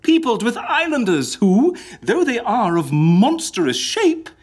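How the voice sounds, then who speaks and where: High-voiced, swooping grandiose schemer. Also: male voice, wildly swooping pitch, takes it slowly, slightly rough, exaggerated voice. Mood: grandly ominous. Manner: declamatory, florid. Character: grandiose Shakespearean schemer arranging faked deaths, male. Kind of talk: theatrical Shakespearean audio drama acting.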